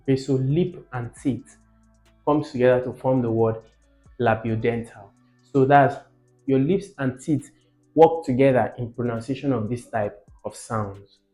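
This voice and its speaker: A man's voice: medium pitch, unhurried, warm tone. A man teaching a lesson, explaining didactically, asking questions and repeating key words for emphasis. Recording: muffled, echoey room with faint music behind.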